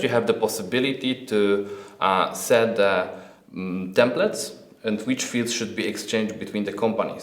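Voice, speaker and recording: A man giving a software product presentation as a non-native English speaker, hesitant and halting, often repeating words. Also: lowish voice. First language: not English. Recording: echoey, roomy sound.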